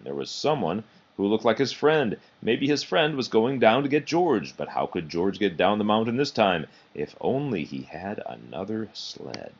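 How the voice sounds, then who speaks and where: A man reading a children's picture book aloud in an expressive, animated storytelling way. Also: sits low, creaky, slightly rough. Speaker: storybook reader. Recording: dull, muffled lo-fi recording.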